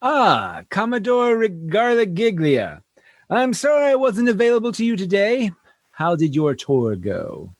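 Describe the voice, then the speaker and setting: An actor playing a flustered, grumbling space station captain in a comedy sci-fi radio show. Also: male voice, high voice, huge pitch swings, measured pace, no room sound, exaggerated voice. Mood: awkward, put out.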